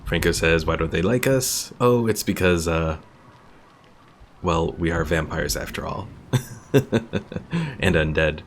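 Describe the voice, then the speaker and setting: Low-voiced, chatty male game streamer. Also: steady tone, measured pace, long pauses, slight background noise. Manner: chatty, thinking aloud, reading out chat. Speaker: gaming streamer. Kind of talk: casual live gameplay commentary. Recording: game music behind the voice. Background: video game music.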